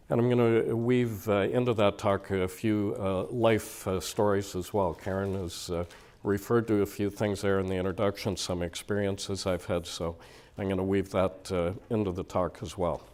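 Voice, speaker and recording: Man, 60s, low voice with a cold-roughened rasp; conversational lecturer addressing a live hall. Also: a little mellow. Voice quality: raspy. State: slightly hoarse from a cold. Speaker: surgeon giving a public lecture. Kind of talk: public lecture. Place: lecture hall before an audience.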